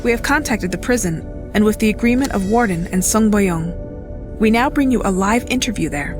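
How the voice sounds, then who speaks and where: A woman playing an earnest, formal television news reporter in a video game, presenting in a measured, disapproving way. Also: medium pitch, lively intonation, lightly acted. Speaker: news host. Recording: music bed under voice. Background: loud music.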